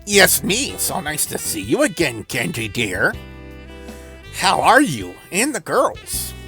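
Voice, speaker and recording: A man's voice: fairly high pitch, huge pitch swings, slow, pushed and bright, faint music behind, full character voice. A man voicing a sly villainous woman in a game, teasing and menacing with condescending endearments. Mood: mock-sweet teasing.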